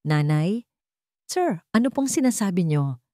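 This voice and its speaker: A woman's voice: mid-range voice, big pitch swings, a little slow, mellow, no echo, clean studio sound. A woman voicing an arrogant male office boss who is flustered and pleading before his superior in a narrated drama. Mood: flustered, fearful.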